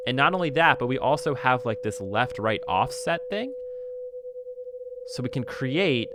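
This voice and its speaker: A man narrating a casual music software tutorial as an instructor, explaining things conversationally and thinking aloud as he goes. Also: mid-range voice, animated, unhurried, noisy background.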